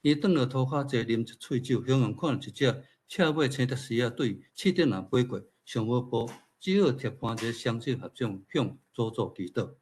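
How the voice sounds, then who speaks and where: Male writer, Taiwanese accent, husky voice, reads his own prose at a literary talk. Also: medium pitch, moderate pace, few pauses, a little mellow. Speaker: featured speaker, writer. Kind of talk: reading own fiction aloud with informal remarks.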